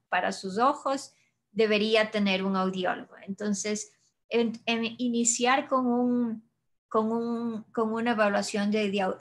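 A woman in her thirties, an audiologist, answering audience questions in a webinar over a video call, calm and explanatory with frequent restarts. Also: medium pitch. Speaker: audiologist presenter. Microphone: webcam video call.

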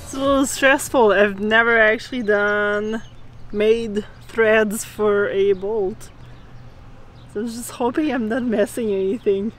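A woman's voice: mid-range voice, steady tone, a little slow, faint music behind. A woman in her thirties chatting casually as she explains boat repair work outdoors in a boatyard, recorded on a camera mic. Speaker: sailing vlogger. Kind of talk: casual vlog narration.

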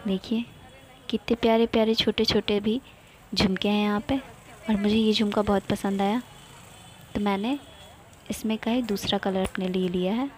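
A woman's voice: medium pitch, fairly even intonation, normal speed, long pauses, a little mellow, a little hiss or hum. A young woman from India narrating a casual travel vlog, cheerful and chatty, pointing things out to her viewers. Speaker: vlogger.

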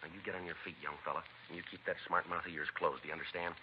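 Man playing a fast-talking, low-voiced American police sergeant. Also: steady tone, natural voice. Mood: stern, scolding. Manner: clipped, low-key, matter-of-fact. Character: stern, fed-up, no-nonsense police sergeant. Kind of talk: 1950s radio drama acting and narration. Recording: muffled vintage radio recording, faint noise.

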